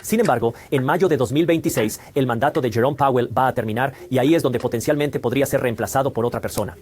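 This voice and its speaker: A man's voice: medium pitch, fast talker, a little mellow, husky. A man hosting a scripted finance explainer, laying out points in a didactic question-and-answer manner. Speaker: host of a finance explainer channel. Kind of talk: scripted explainer narration, dubbed from another language.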